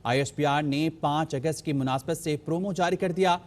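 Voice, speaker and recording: A man's voice: fairly high pitch, normal speed. Declamatory male news voice-over. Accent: Pakistani Urdu. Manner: rhythmic, declamatory listing. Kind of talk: scripted news narration.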